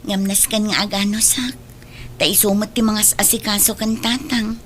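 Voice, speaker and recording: A woman's voice: mid-range voice, fairly even intonation, moderate pace, fairly bright tone, slight background noise, natural voice. A woman with an Ilocano accent acting the part of an earnest, apologetic woman in an audio drama. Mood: homesick, sad.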